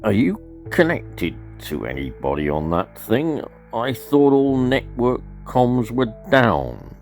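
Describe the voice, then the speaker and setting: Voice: man putting on a tightly controlled, raised-pitch masked medic. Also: very animated, slow pace, a little mellow, soft background music. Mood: controlled, wary. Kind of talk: radio play disaster dialogue.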